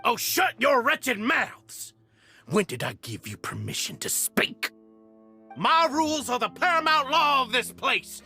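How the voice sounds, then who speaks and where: An actor playing a furious, tyrannical villain in a video game, with a theatrical, menacing delivery. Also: male voice, very high-pitched, sing-song, measured pace, breathy edge, soft background music, heavily acted. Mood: raging. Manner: theatrical, menacing, swinging between hiss and shout.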